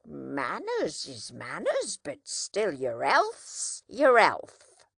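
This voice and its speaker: Slow earnest blacksmith man, kindly and plain-spoken, lilting up and down, as a woman reads him, in a storybook. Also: sits low, huge pitch swings, gravelly, fairly bright tone, slightly rough, no room sound, studio quality, exaggerated voice. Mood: earnest, worried. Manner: slow, earnest, confiding country speech. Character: kindly simple-hearted grown man, a village blacksmith.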